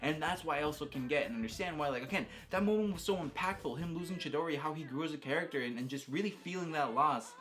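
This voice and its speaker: Animated-talking man with a higher-pitched voice. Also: fast, few pauses. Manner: enthusiastic, slangy, emphatic with repeated phrases. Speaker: video creator reviewing a game. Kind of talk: casual, unscripted opinion talk to viewers.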